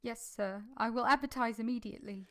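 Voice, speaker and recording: A woman's voice: a little high, fairly quick, close to an everyday voice. A woman playing an earnest, humble but passionate young governess in formal literary language, in a vintage-style radio drama. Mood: subdued, deferential.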